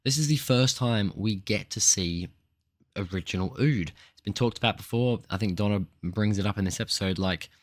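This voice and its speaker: A man with a voice croaky from a recent cold, giving a casual, rambling spoken review with plenty of fillers and restarts. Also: on the low side, normal speed, dry recording.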